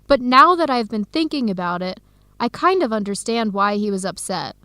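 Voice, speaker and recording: An actress playing a warm-hearted young woman in an educational radio drama, expressive and conversational. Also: medium pitch, moderate pace, no echo. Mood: frustrated, defensive. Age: young adult. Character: Helen, warm-hearted young woman, defensive about her boyfriend.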